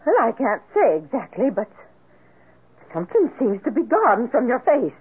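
A woman's voice: fairly high pitch, sing-song, normal speed, slightly rough, full character voice. An actress playing a chatty, fretting landlady of a boarding house in a radio drama. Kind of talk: 1940s radio acting. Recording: tinny noisy old radio recording.

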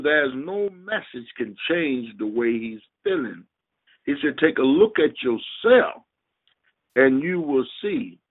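A man preaching a sermon over a conference call, speaking emphatically and deliberately in short, halting phrases. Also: mid-range voice. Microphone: telephone. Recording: tinny narrowband phone line.

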